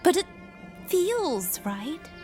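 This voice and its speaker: A woman acts a young noble mage in a fantasy audio drama. Her voice is high and takes its time, swinging expressively. She sounds earnest and unsure, yet resolute. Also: sing-song, extremely slow, mellow, exaggerated voice. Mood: urgent, uncertain. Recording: music under the voice. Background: music.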